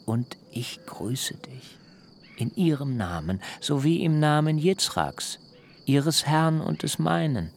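Slow, creaky-voiced, eloquent wanderer. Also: male voice, mid-range voice, a little hiss or hum. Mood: formal, courteous. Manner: measured, ornate, declamatory. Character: destitute but proud, eloquent fugitive nephew, smooth-tongued. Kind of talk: literary radio drama acting.

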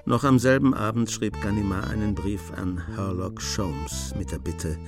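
Radio play narrator, male actor: composed, sober, low register, telling a detective story. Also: steady tone, moderate pace, over music, close to an everyday voice.

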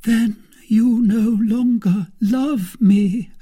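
A man voicing a jealous, reproachful middle-aged wife in a high, pleading manner. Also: fairly even intonation, very slow, dark tone, slightly rough, heavily acted. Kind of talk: audiobook character reading.

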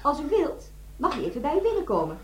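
A woman playing a calm, brisk hospital nurse in a radio play, soothing and matter-of-fact. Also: sits high. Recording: echoey room with voices behind.

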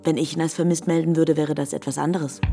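A cool, hostile rival with a markedly low female voice. She answers curtly and dismissively, keeping tight control. Music is clearly heard beneath the radio play. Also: flat delivery, fast. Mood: cold, dismissive. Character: cool, hostile woman, the heroine's curt rival. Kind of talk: radio drama dialogue.

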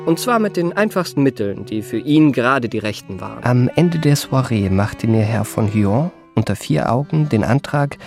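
A suave young gentleman impostor in a literary radio play. His voice sits at a medium pitch and his phrasing is ornate, courtly and effusive. Music plays faintly behind him. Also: gravelly. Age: young adult. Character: suave young impostor posing as a flattering marquis. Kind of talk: first-person literary radio drama narration with acted dialogue.

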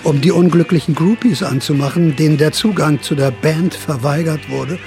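A man's voice: on the high side, moderate pace, a little mellow, faint music behind, natural voice. An actor playing a sly older counterculture veteran who narrates his memories in a radio drama, confiding, wry and theatrical. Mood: wry, reminiscing.

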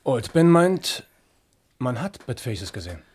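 An actor playing an earnest, wary frontier farmer in a German radio play, speaking in a measured manner. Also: male voice, mid-range voice, sing-song, darkish tone, slightly rough.

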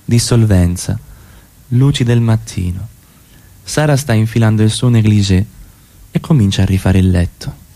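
A man's voice: lowish voice, normal speed, long pauses, warm tone. Man reading stage directions. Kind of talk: scripted narration.

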